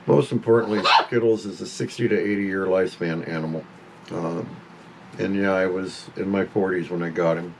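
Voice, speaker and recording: A man's voice: low-pitched, steady tone, normal speed, creaky, noisy background. A man in his fifties talking casually to camera at home about his pet parrot, rambling and stopping to scold the bird. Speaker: pet owner vlogger.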